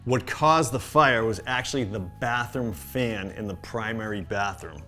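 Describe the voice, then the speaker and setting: A man's voice: fairly low pitch, a little slow, sharp tone, faint music behind. A man co-hosting a casual home renovation chat, answering in short, dry, joking replies. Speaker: co-host, home renovation business owner.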